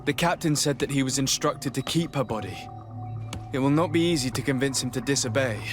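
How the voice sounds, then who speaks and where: A man acting a courteous, composed noble diplomat with a formal, measured manner in a video game. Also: mid-range voice, music in the background, lightly acted.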